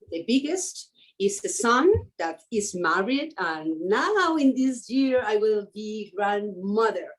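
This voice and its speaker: Woman, 50s, interview guest, talks slow, keeps restarting, mid pitch. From Mexico. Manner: hesitant, searching for words with many restarts. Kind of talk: conversational interview.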